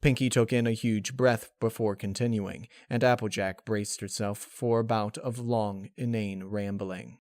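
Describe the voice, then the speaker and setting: A man's voice: lowish voice, steady tone, unhurried, few pauses, darkish tone, no room sound. A man reading a story aloud as scripted, dramatic narration.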